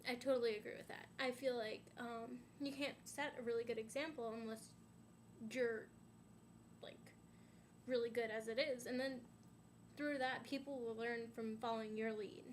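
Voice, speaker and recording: A woman's voice: on the high side, fairly even intonation, normal speed, a little hiss or hum. A young woman chatting to her camera in a rambling way, full of "um"s and "like"s, a little stuffy and sniffly from a sinus infection. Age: young adult. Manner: rambling, many "um"s and "like"s. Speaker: vlogger. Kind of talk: casual chat to camera.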